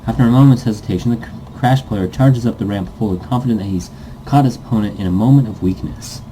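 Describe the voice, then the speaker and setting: Very dark, mellow-voiced man narrating. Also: fairly low pitch, fast talker, a little hiss or hum, poor audio. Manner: stumbles over text and self-corrects, goofy. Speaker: let's player reading a visual novel aloud. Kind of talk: story narration read aloud with playful asides.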